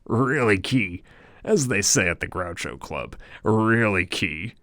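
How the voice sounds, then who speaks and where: Guy doing a snooty businessman, plummy and condescending, high and loud, dry sound. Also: big pitch swings, measured pace, no room sound, heavily acted. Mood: airily dismissive. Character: snobbish, dismissive British businessman, middle-aged.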